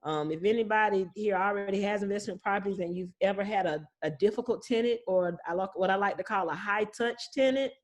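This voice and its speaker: A woman's voice: mid-range voice, fairly quick, hardly any pauses. A woman speaking as a guest property manager, explaining things casually in an informal, reassuring, plain-spoken way.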